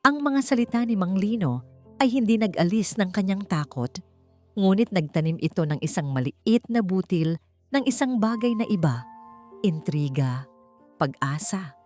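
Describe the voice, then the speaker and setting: A woman with a Filipino accent narrating a dramatic story in a suspenseful, deliberate way with pauses. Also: mid-range voice, lively intonation, darkish tone. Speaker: audiobook narrator. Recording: music bed under narration. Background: background music.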